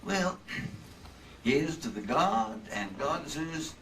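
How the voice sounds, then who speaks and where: An actor playing a hospitable, excitable, bossy insurance man in an old-time radio drama, hemming and repeating himself. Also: a little high, extremely slow, full character voice. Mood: earnest. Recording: distant stage mics, some room noise.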